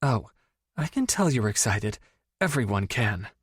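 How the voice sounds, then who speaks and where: A woman's voice: a bass voice, sing-song, a little slow, husky, no room sound, clean studio sound, exaggerated voice. A man voicing a reserved young woman who speaks tightly and keeps her composure in an audiobook. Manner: tight, composed, a little exasperated. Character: guarded, reserved young woman, easily embarrassed. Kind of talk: audiobook character voice.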